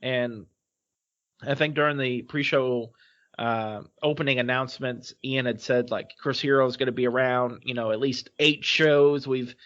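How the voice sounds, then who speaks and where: A man's voice: mid-range voice, fairly even intonation, normal speed, fairly bright tone, dull audio. A man co-hosting a podcast, recapping casually in a loose, rambling way full of fillers. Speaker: podcast co-host. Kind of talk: casual podcast chat recapping a wrestling show.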